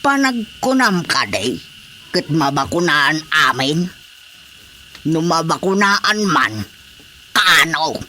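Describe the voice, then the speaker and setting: A man playing a stubborn, resigned elderly village father in an Ilocano Filipino radio drama, with a drawn-out, emphatic delivery. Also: a little high, very animated, pushed and bright, a little hiss or hum, heavily acted. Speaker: voice actor.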